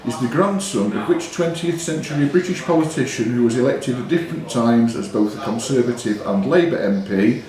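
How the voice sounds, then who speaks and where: A man hosting a quiz, reading questions deliberately and giving short, curt rulings on the answers. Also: mid-range voice, lively intonation. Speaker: quizmaster. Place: indoors in a pub. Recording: very echoey room with pub crowd. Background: clear crowd chatter.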